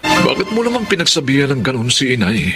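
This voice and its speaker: A man's voice: mid-range voice, huge pitch swings, fast talker, over loud music. An actor playing a placating husband torn between wife and mother in a Tagalog radio drama, hesitant and apologetic, with a Filipino accent. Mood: defensive, exasperated.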